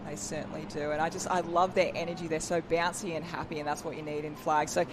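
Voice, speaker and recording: A man's voice: a little high, little pitch movement, quick pace, background noise. Enthusiastic male expert co-commentator. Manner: enthusiastic, explains technique, chatty. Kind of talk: live sports commentary.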